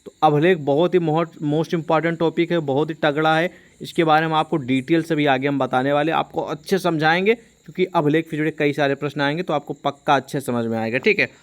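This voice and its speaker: A man's voice: fairly high pitch, fairly even intonation, a little fast, few pauses. A man teaching an informal exam-prep lesson, explaining by repeating and rephrasing, with frequent check-in tags. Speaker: online history teacher.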